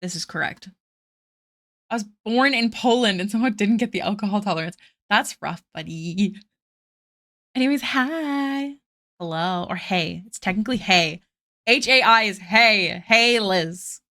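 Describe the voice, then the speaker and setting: A woman's voice: medium pitch, unhurried, sharp tone. Giggly young woman streaming her art. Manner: giggly, self-deprecating, chatting to viewers. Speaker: artist streaming live. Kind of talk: casual live-stream chat while drawing.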